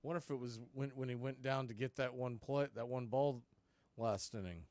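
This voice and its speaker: A man's voice: medium pitch, fairly even intonation, moderate pace, darkish tone, dry recording. An American man calling live baseball play-by-play for radio, in clipped phrases with conversational asides.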